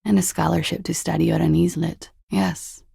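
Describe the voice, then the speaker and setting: A woman playing a cool, evasive young former beauty queen in a video game, speaking in a languid, dry, sardonic way with a husky, breathy voice. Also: lowish voice, flat delivery, moderate pace, mellow, dry recording, heavily acted. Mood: dry, bored. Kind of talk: video game dialogue, low-key and naturalistic.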